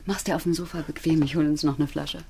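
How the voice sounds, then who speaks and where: An actress playing a friendly, forward woman on an evening date in a radio drama, speaking in a relaxed, inviting way. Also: sits low, fast talker, a little hiss or hum. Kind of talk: intimate radio drama dialogue.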